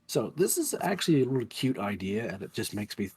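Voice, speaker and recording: A man's voice: mid-range voice, very animated, a little fast, a crowd in the background. A man co-hosting a casual game chat, with a nasal voice as he recovers from losing it, chatty and teasing. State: recovering from lost voice. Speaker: podcast co-host.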